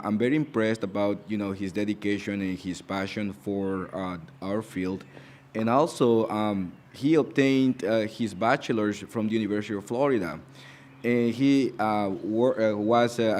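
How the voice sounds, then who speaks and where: A man introducing his graduate students in a ceremony speech, halting with many fillers and restarts. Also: fairly low pitch, a little hiss or hum.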